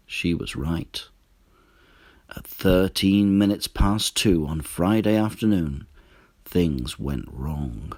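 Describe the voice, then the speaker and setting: A man narrating a children's story in an expressive, deliberate storytelling manner. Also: deep voice, dry recording, studio quality. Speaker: storybook reader.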